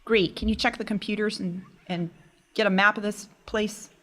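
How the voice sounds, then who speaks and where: A woman's voice: medium pitch, fairly even intonation, moderate pace, fairly bright tone. A woman talking casually as a player in a tabletop role-playing game.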